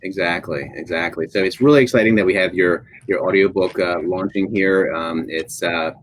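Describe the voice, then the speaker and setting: A man's voice: low-pitched, moderate pace. Man hosting an interview, slightly noisy recording. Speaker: interviewer, moderator. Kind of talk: hosting an online author interview. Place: live online video event.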